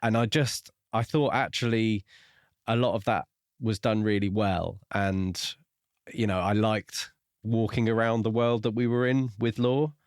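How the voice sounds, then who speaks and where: Male podcast co-host, slightly low voice, informal and tentative, recorded close and dry. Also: steady tone, a little slow, no room sound. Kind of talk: casual book review discussion.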